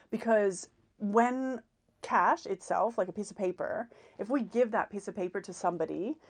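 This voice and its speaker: Woman, live stream host, casual rambling talk, repeats words, slightly worn out, mid-pitched voice. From grew up in Hong Kong, Canada, Australia and New Zealand. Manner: rambling, repeats words for emphasis, many fillers. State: a little run down. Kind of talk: casual monologue.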